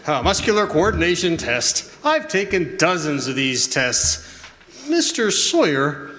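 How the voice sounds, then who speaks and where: A man's voice: on the high side, huge pitch swings, exaggerated voice. Guy doing cheeky kindly old santa type, radio play, voice goes up and down a lot, echoey hall. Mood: gently needling. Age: older. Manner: unhurried, gently needling, hesitant asides. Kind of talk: stage radio drama acting. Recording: live hall reverb, faint noise.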